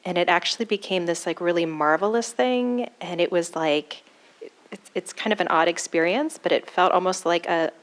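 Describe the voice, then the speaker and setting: A woman with a very quiet voice shares her meditation experience in a tentative way, full of fillers. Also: lowish voice, normal speed. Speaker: student sharing in a group. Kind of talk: casual sharing. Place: meditation hall with a group.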